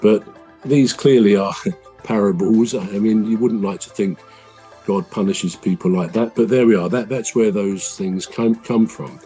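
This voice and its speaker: A man with a deep voice, hosting a podcast and explaining things at length in a casual, measured way. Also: mellow, soft background music, rough recording. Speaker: podcast host.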